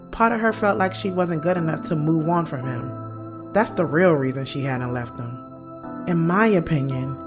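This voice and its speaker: Actress as a candid woman narrator, frank, slangy, conversational, loyal friend, audio drama, clear music underneath. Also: low-pitched, lightly acted. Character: candid, loyal woman author narrating her own story.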